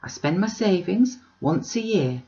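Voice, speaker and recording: A woman's voice: lowish voice, animated, measured pace, mellow. A woman reader acts out an old woman from the country in a classic storybook. She is chatty, curious and earnest, with polite rustic phrasing. The recording is a little muffled.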